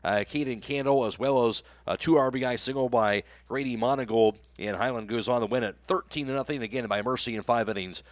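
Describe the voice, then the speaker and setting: A man's voice: medium pitch, a little fast, hardly any pauses, no echo. A man working as a radio announcer, reading sponsor spots and recapping the game on a sports broadcast.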